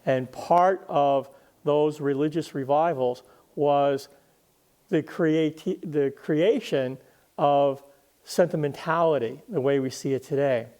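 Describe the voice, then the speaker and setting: A man speaking as a guest historian, explaining literature and history in deliberate, emphatic phrases with pauses. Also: a little high, darkish tone.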